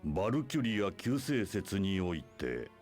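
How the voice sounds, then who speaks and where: An imperious, scheming leader of a church, deep and sweeping in pitch. He speaks in grandiose, archaic formal phrases, as in a dramatic video game scene, with music underneath. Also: big pitch swings, a little fast, a little mellow. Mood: grandiose, scornful. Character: imperious, scheming male church leader preaching his doctrine. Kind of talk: Japanese video game voice acting.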